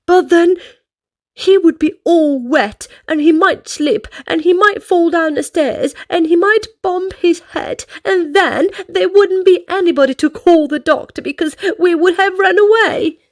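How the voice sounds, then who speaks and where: A woman's voice: very high-pitched, measured pace, dry recording, clean studio sound, voice pushed up. A woman voicing an anxious male worrier from a children's storybook, fretting in breathless run-on sentences. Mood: fretful, gasping. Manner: fretful, breathless run-on worrying.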